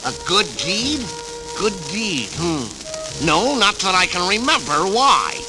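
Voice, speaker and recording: A man's voice: high-pitched, very animated, takes it slowly, exaggerated voice, low-quality recording. A man voicing a grumpy, scheming cartoon cat with a slobbery lisp and blustery delivery on a vintage children's record. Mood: sly, doubtful. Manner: blustery, exclamatory. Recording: vintage 78rpm record with music.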